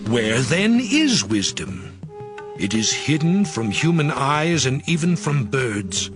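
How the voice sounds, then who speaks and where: Man acting solemn, slow, swooping scripture sage. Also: mid-range voice. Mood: solemn, reflective. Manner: solemn, dramatic, expressive delivery. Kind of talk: dramatized scripture reading. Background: background music.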